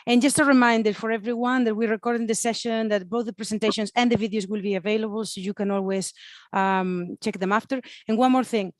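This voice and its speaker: A woman's voice: mid-range voice, steady tone, fast talker, no room sound. Chatty woman moderator, close dry sound. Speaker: webinar moderator. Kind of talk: informal event moderation. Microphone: computer mic on video call.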